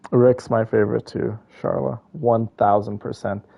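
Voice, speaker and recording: A man streaming a game and chatting with his viewers. His mid-pitched voice is creaky with fry, and he rambles through opinions in casual slang. The sound is a little dull. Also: fairly even intonation, moderate pace. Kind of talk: casual chat with viewers while playing.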